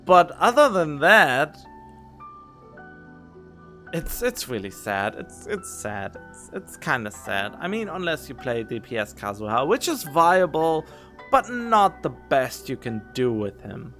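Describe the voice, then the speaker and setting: A man's voice: a little high, sing-song, slow, bright, forceful tone, soft background music. A man giving casual gameplay commentary as a streamer, musing to himself in a rambling way. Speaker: game streamer.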